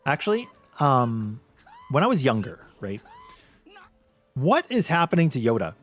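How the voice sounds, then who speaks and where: Lively light-voiced man streaming. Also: faint music behind. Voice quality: light voice he says is mistaken for a girl's. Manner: animated, chatty, swooping pitch, quick laughs. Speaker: VTuber streamer. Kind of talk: casual livestream chat with viewers.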